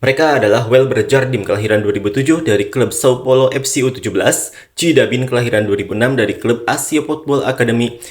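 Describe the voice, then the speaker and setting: A man narrates a sports news segment in an even, read-aloud manner. His voice sits at a middle pitch, neither high nor low. The delivery stays steady from line to line. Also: fast talker. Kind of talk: scripted narration reading news and online comments.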